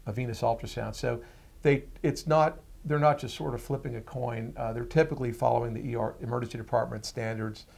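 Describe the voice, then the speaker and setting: Male interview guest, mature physician with noticeable vocal fry, explaining clearly in everyday terms. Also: medium pitch, fairly even intonation, brisk, darkish tone.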